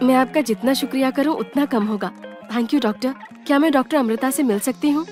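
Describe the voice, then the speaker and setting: An earnest young woman played by an actress in an audio drama, polite and pleading. Her voice is high and emotional, with faint music behind. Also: fairly quick, a little mellow, natural voice. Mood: grateful, surprised. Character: earnest, apologetic, protective younger sister. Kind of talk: Hindi audio drama acting.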